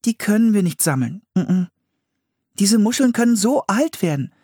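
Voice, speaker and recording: Man acting as a young girl, high voice, excitable, tender-hearted, expressive, storybook character, dry close sound. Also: normal speed, husky, no room sound, heavily acted. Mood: earnest. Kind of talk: audiobook children's story character voice.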